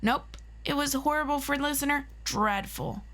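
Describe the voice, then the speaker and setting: An excitable, protective young hero in an audio roleplay, acted by a woman. The voice sits fairly high and is earnest and quick to reassure a friend. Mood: nervous, defensive.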